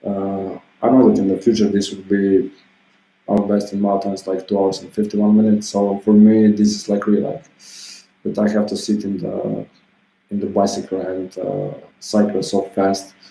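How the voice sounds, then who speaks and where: A man in his thirties talks in a loose, meandering way, full of little filler phrases. His voice sits low and sounds warm and relaxed. The room around him is echoey, so his words ring a little. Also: normal speed. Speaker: self-help vlogger. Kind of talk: casual motivational monologue. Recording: roomy echo.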